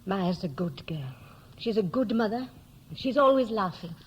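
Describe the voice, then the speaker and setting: Animated, reproachful mother. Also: mid-range voice, normal speed, breathy edge, muffled recording. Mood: reproachful, firm. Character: fussing, reproachful mother of grown sons, protective. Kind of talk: 1960s radio play acting. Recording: hissy 1960s radio recording.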